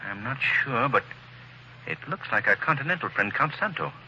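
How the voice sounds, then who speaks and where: A man playing a calm gentleman detective with dry humor in a 1940s radio drama. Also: mid-range voice, moderate pace, dull audio, noisy background, close to an everyday voice.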